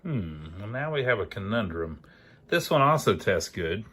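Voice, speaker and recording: A man's voice: on the low side, sing-song, moderate pace, a bit bright, husky. A man narrating a hands-on repair video in a casual, folksy way, thinking aloud as he works. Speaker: host of an amateur electronics repair channel.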